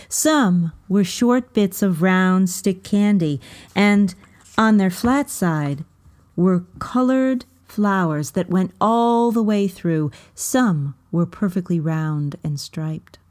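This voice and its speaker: A woman reading a children's novel aloud as storybook narration, with measured, deliberate phrasing. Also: on the low side, expressive, a little mellow.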